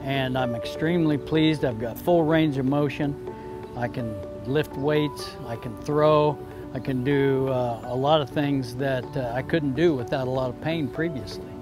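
A man's voice: medium pitch, steady tone, unhurried. An older man giving a plain, earnest testimonial outdoors on a lapel mic. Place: outdoors by trees and shrubs. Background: background music.

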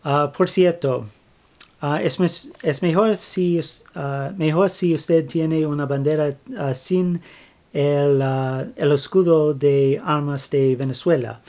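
A man speaking Spanish as a second language, giving a step-by-step craft tutorial, with many fillers, restarts and pauses as he searches for words. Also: a little high, fairly even intonation, mellow. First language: not Spanish. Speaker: flag-craft tutorial maker. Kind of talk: halting how-to narration.

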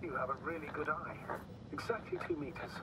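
An actor playing a formal, calculating male mission commander in a video game, speaking deliberately and precisely through a radio filter. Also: a little high, background noise.

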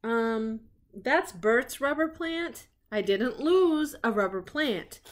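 A woman voicing a gentle, slightly forlorn young male storybook friend who politely and hesitantly corrects people. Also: on the high side, expressive, slow pace. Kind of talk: children's storybook read-aloud.

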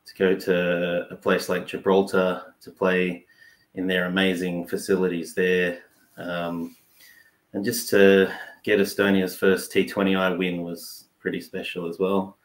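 A man's voice: low voice, monotone, measured pace. A man in his thirties with an Australian accent, talking casually as an interview guest. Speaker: cricket player and team manager. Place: small indoor room, seated on a couch. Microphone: shared video call microphone, at some distance. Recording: roomy echo on a video call.

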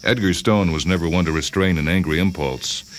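A man reading a short story as a radio host, in measured, expressive dramatic narration. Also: sits low, slight background noise. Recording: music under the voice. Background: music.

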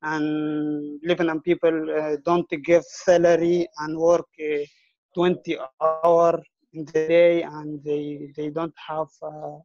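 A man's voice: on the high side, monotone, darkish tone, no echo. Young man, broken English, dry muffled call line. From Sudan. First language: Arabic. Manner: halting broken English, searching for words. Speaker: audience member asking a question. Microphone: video call.

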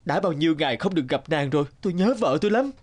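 Man as a brisk, earnest fairy-tale widower. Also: fairly high pitch, animated, darkish tone. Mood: bewildered, yearning. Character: devoted young widower, bold and lovelorn fairy-tale hero. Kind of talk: animated fairy-tale dubbing. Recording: music behind the dialogue.

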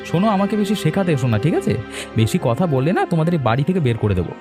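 A man's voice: on the high side, very animated, quick pace, over music. A man playing a young, quarrelsome and resentful village son in a cartoon moral story, with a pushy, argumentative manner. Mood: rude, threatening.

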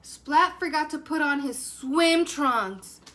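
A woman's voice: high-pitched, slow pace, bright, forceful tone, exaggerated voice. A woman voicing a boastful, teasing young male cat in a children's storybook, taunting and showing off.

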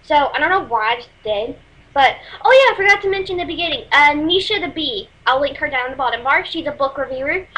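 Teenage girl, Texas accent, high voice, bright tone, chatty and apologetic, webcam mic in a small room. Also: expressive, fast talker, rough recording. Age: teens. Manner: rambling, apologetic, many fillers. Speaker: music vlogger. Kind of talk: casual webcam review. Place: small room at home, indoors. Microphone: webcam built-in mic.

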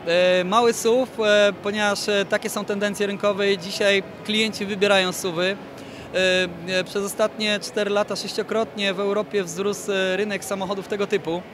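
A man in his thirties giving a promotional interview as a PR manager, speaking in a measured way with many drawn-out hesitations. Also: high-pitched, level tone. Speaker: car brand PR manager, interviewee. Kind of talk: promotional product presentation in an interview. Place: large indoor car show hall. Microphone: handheld interview microphone held toward him. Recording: noisy busy exhibition hall background.

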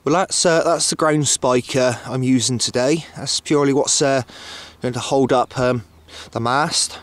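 A man narrating a casual outdoor vlog in a hesitant, matter-of-fact way, often pausing as he goes. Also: mid-range voice, dry recording. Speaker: radio hobbyist filming himself. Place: outdoors on an open hilltop. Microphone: handheld camcorder, operator behind camera.

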